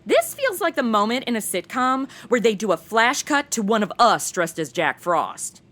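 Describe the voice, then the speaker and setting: Young, sassy modern gal, played by a woman, high voice, huge pitch swings, casual and irreverent comic delivery. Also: big pitch swings, normal speed, fairly bright tone. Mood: joking. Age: young adult. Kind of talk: comedic audio drama, modern casual speech.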